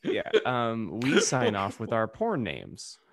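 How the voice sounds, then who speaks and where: A man's voice: lowish voice, wildly swooping pitch, takes it slowly, a loud crowd. A man co-hosting a podcast with casual, mischievous comedic banter. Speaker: podcast co-host.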